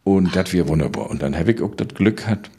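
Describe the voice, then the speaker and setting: An older man with a northern German, Low German accent, telling anecdotes as an interview guest and lively raconteur. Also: fast talker, vocal fry, husky. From northern Germany, Baltic coast. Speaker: interview guest, theatre writer and dramaturge.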